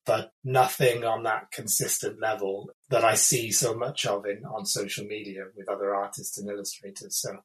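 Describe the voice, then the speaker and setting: A man's voice: lowish voice, flat delivery, normal speed, hardly any pauses, low-quality recording. A man in his thirties from the UK, an illustrator talking casually in an interview, thoughtful and hesitant with many fillers and restarts. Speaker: illustrator, guest.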